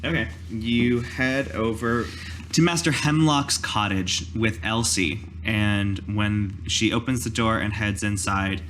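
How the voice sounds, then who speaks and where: A man's voice: fairly low pitch, slow, a bit bright, a little hiss or hum. A man narrating a tabletop roleplaying game as game master.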